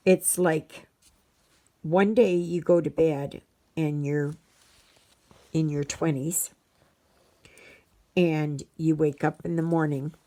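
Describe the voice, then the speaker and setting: Slow, gravelly-voiced woman in her sixties. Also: sits low. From Canada. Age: 60s. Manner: slow, reflective, rambling with many fillers; murmurs softly to herself while working. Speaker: stitching channel host. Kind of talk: casual chat while stitching.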